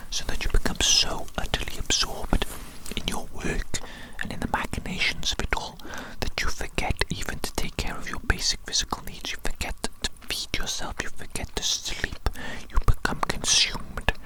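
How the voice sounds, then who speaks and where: A performer whispering an ASMR roleplay as a megalomaniac evil scientist, theatrical and deliberate, often repeating phrases. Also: low-quality recording. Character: megalomaniac evil scientist doting on his creation.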